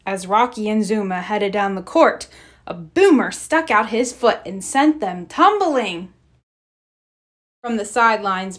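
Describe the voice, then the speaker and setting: A woman reading a children's storybook aloud as narrator, in an expressive read-aloud style. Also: mid-range voice. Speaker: storybook reader.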